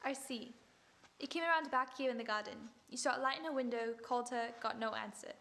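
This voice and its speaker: A woman playing a cool, sharp amateur detective. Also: on the high side, a little fast, vocal fry. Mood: probing. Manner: dry, ironic politeness. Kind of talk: vintage-style radio drama acting.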